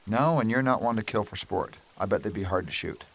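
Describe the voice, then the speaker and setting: Low-voiced, kindly male inventor, played by a man. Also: brisk, gravelly, a bit bright, slightly rough, full character voice. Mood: thoughtful, kindly. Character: Beetee, kindly, enthusiastic male weapons inventor. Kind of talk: amateur radio drama acting. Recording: faint background noise.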